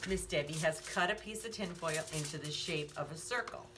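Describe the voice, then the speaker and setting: A woman's voice: low-pitched, brisk. A woman hosting storytime for young children, speaking in a sing-song way with simple questions for her small listeners. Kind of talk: read-aloud storytime and craft lesson for young children. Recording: echoey room, distant mic, faint noise.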